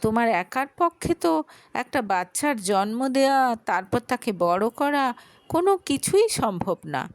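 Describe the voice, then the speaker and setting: A woman's voice: a little high, very animated, measured pace, no echo, voice pushed up. A woman voicing a kind, protective, composed adult woman who speaks reassuringly in a dramatic audio story. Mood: questioning concern. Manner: soft, reassuring, questioning.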